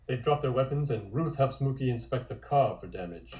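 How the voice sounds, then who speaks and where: A man's voice: medium pitch, animated, brisk, a little mellow. A man reading scripted comic narration and stage directions in an echoey room.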